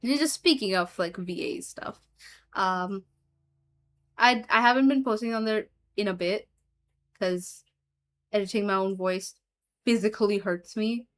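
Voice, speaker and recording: A woman's voice: mid-range voice, measured pace, pauses often. A woman streamer chatting casually with her viewers and reading chat aloud, giggling often and trailing off with fillers. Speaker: streamer and hobby voice actor.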